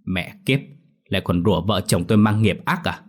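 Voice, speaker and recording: A man's voice: low voice, fairly quick. A man voicing a cruel, hot-tempered old landlord, snarling and bellowing threats. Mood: indignant. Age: older.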